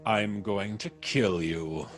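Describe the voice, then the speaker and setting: A man's voice: lowish voice, slow, soft background music. An actor playing a vengeful ex-convict blackmailer in old-time radio style, taunting and mock-polite as he threatens. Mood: gloating menace.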